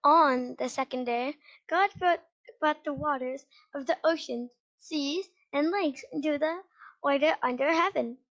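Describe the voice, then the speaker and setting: A female reader with a high voice reading a children's Bible story aloud, halting now and then over words. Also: fairly bright tone, muffled recording.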